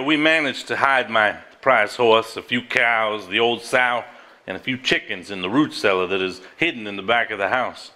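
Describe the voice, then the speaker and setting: An actor on a theater stage playing a man who reads an old journal aloud, deliberate, formal and earnest. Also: medium pitch, bright, forceful tone. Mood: solemn, concerned. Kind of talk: live stage drama, measured dramatic reading. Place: theater stage before a live audience.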